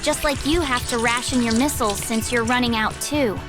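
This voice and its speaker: Practical, bossy cartoon kid woman actor, very high register, music behind. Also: fairly quick, natural voice. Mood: businesslike. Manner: bossy, no-nonsense. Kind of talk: fan comic dub voice acting.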